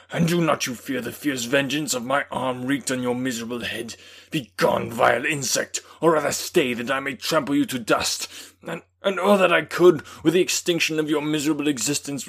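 A man voicing a furious young male scientist who bursts into loud, declamatory outbursts. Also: medium pitch, steady tone, fairly quick, few pauses, natural voice. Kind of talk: dramatic audiobook reading.

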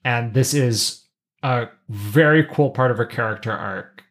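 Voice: medium pitch, measured pace. A podcast co-host in a casual pop-culture discussion, wry and given to voicing imagined lines.

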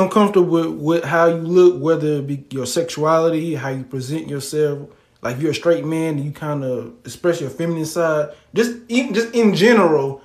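A man on a casual podcast chat, giving earnest, loud advice. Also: fairly high pitch, roomy sound.

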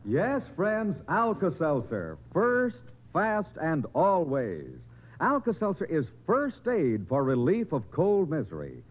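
A man's voice: a little high, wildly swooping pitch, slow pace. A man reading a commercial as a 1950s radio announcer, emphatic and polished, on an old tinny broadcast. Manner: emphatic, polished sales delivery.

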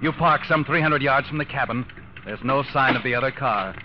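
An American man acting as the ominous, taunting narrator of a 1940s radio crime thriller, telling the story deliberately to the culprit. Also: mid-range voice, noisy background, lightly acted. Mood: tense, ominous. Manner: taunting, deliberate, suspenseful second-person storytelling. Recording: dull old radio recording, loud music behind. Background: dramatic music.

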